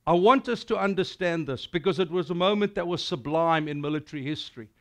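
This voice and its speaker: A middle-aged man with a South African accent preaching a sermon, animated and declamatory as he tells a battle story and recites verse. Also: high voice. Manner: animated and declamatory, gesturing widely.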